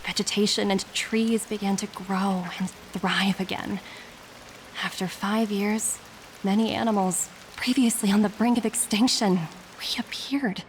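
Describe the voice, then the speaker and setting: A woman voicing a composed corporate agent in a video game, reading a formal, earnest and persuasive letter in a measured way. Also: mid-range voice, fairly even intonation, a little hiss or hum, close to an everyday voice, rough recording. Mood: measured, solemn. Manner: measured, formal, deliberate phrasing. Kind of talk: scripted game letter monologue. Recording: background music under the voice.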